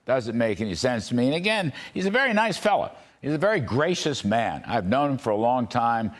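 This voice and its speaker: A male TV host in casual political chat. His voice sits at an ordinary middle pitch, and he hedges with frequent fillers and false starts. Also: very animated. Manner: halting, many fillers and restarts. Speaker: TV show host.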